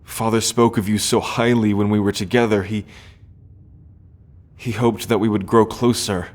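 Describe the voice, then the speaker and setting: A man playing an earnest, sorrowful young prince in a fantasy audio roleplay, speaking gently and formally with weighty pauses. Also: lowish voice, flat delivery, natural voice. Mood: wistful.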